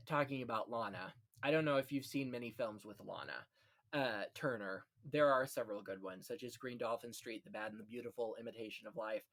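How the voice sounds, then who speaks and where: A man's voice: mid-range voice, a bit bright. A man hosting a casual film history chat, telling anecdotes in a gossipy, conversational way. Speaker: podcast host.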